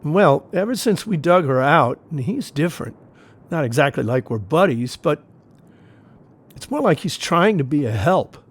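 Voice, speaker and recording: A man's voice: a little high, big pitch swings, moderate pace, breathy edge, exaggerated voice. An actor playing an attentive, considerate male confidant in an audio play, with a naturalistic, musing, conversational delivery. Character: attentive male confidant, gently probing and apologetic.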